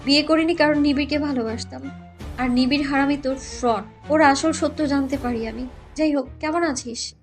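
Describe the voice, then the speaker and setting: High and curt, this is a woman playing a haughty young lady of a rich house in an audio drama. She questions bluntly and imperiously, with music behind her. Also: steady tone, normal speed. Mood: indignant. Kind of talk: audio story acting.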